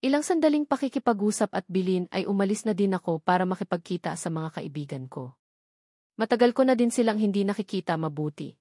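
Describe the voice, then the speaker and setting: Female audiobook narrator, mid pitch, scripted first-person romance storytelling, very dry recording. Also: animated, quick pace, dark tone, no room sound.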